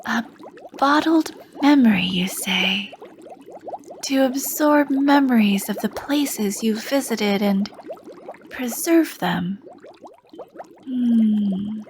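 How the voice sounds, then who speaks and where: A woman playing a charming, sly potion shopkeeper, talking slowly and softly with a teasing edge and dipping into whispers. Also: a little high, slight background noise. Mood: curious. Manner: slow, soft-spoken, teasing, drifting into whispers. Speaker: ASMR roleplay performer. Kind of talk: ASMR roleplay.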